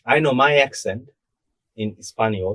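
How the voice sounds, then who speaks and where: A man's voice: mid-range voice, measured pace, fairly bright tone, dry recording, poor audio. A man co-hosting a podcast casual chat, teasing and jumping in with quips.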